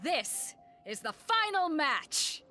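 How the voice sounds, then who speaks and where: Bright, spirited tournament announcer. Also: female voice, sits high, very animated, slow pace, soft background music, heavily acted. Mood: rousing. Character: spirited female tournament announcer, brisk, confident, showy. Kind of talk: anime-style video game voice acting.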